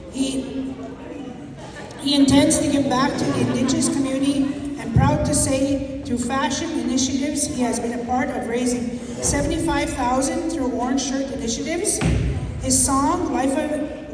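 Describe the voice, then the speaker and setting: A woman's voice: high-pitched, background noise. A woman emceeing an event, reading out a speaker introduction with the odd stumble. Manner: steady, occasionally stumbling read. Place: outdoor community event stage. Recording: echoey PA from far off with crowd.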